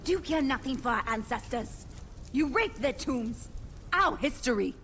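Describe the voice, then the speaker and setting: Impassioned accusing priestess, higher-pitched, slow, video game, faint background noise. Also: female voice, expressive. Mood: outraged, accusing. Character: outraged, righteous ancient priestess.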